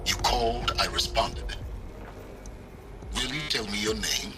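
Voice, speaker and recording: An enigmatic, calculating outcast scholar, played by a man, talks in a measured, wry way, pausing deliberately. His voice sits on the low side, with a loud game score running behind it. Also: loud music behind the voice, close to an everyday voice.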